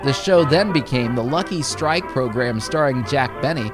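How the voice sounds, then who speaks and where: A man reads a scripted radio-history introduction in an even, promotional manner. His voice moves with a lively rise and fall at a middling pitch. Loud music plays behind him. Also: moderate pace. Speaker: host of a radio-history channel. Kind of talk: scripted narration.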